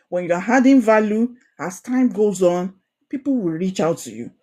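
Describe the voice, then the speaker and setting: A young woman with a Nigerian Ijesha accent, coaching a women's group in an online course, animated and encouraging, often repeating phrases for emphasis. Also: medium pitch. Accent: Nigerian, Ijesha Yoruba.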